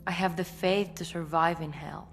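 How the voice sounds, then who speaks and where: An actress playing a devout, defiant cartel cult priestess with a Latin American Spanish accent, solemn and fervent, in a video game. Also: female voice, on the low side, steady tone, moderate pace, gravelly, a little hiss or hum. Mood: solemn defiance. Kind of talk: dramatic video game cutscene acting.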